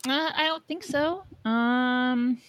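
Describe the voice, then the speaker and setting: A woman's voice: high-pitched, takes it slowly, fairly bright tone, no room sound. A woman co-hosting a podcast in casual, conversational chat. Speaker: podcast co-host.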